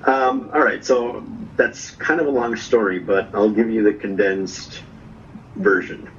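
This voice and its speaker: Low-voiced man on a muffled call. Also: unhurried, slight background noise. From Norway. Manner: relaxed storyteller, tags lines with "right?". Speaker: guest vlogger. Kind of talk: casual interview chat.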